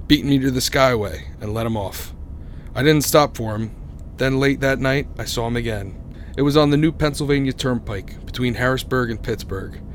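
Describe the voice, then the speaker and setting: A man's voice: medium pitch, slight background noise, natural voice. An actor playing a haunted young motorist who tells his eerie story in a radio drama, grave and suspenseful. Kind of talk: radio drama narration and acting.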